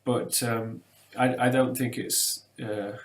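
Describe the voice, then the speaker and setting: A man's voice: mid-range voice, flat delivery, slow pace, echoey room. A man, talking through ideas in a thoughtful, hesitant way with many fillers and restarts. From England. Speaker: webinar co-presenter. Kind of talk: casual academic discussion. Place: room lined with bookshelves, indoors.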